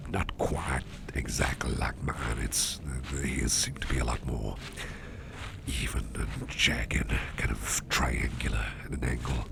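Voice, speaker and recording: A man in an ASMR roleplay voicing an eager, secretive boss with fangs, speaking in a soft, rambling whisper over clear background noise. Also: rumbling low, exaggerated voice.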